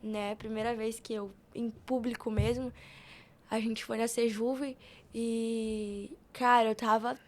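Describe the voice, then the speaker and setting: A woman's voice: fairly high pitch, little pitch movement, slow, darkish tone. A young woman with a Brazilian accent chatting informally and telling personal stories on a podcast. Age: young adult. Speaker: podcast guest. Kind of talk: casual podcast conversation.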